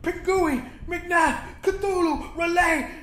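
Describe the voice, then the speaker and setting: A man voicing a fanatical, monstrous shadow cultist villain in an audiobook, howling and shouting. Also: very high voice, steady tone, extremely slow, reverberant, voice pushed up, full character voice. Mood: frantic chanting. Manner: howling, stammering, shouted chanting. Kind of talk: audiobook character voice.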